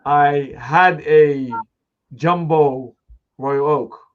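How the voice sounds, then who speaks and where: Male host, middle-aged, fairly high voice, halting and conversational, slightly dull audio. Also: steady tone. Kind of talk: conversational live interview.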